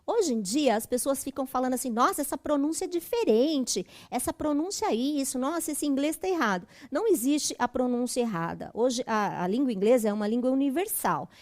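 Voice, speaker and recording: A woman's voice: sits high, expressive, fairly quick, few pauses, a little mellow. A woman, teaching a school English lesson conversationally and often checking in with tag questions. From Brazil.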